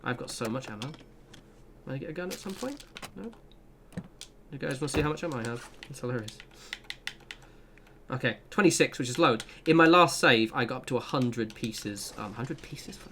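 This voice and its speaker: A young man walks through a game route in a loose, chatty way, drifting into self-deprecating side remarks. His voice sits at an ordinary middle pitch, with a faint hiss under it. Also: animated, moderate pace, long pauses, fairly bright tone. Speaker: gaming channel host. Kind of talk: casual gameplay guide commentary.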